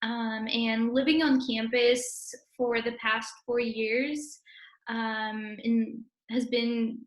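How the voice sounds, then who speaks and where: A young woman in her twenties who grew up in Russia and speaks Russian natively gives casual interview answers. Her voice sits a little high for a woman. The recording is noticeably roomy and echoey. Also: flat delivery, slow pace. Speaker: interviewee, university student.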